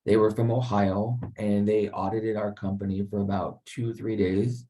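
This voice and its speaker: A man's voice: on the low side, flat delivery, normal speed, warm tone, far from the mic, low-quality recording. A man on a community panel telling a work anecdote in a casual way with fillers. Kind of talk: panel discussion.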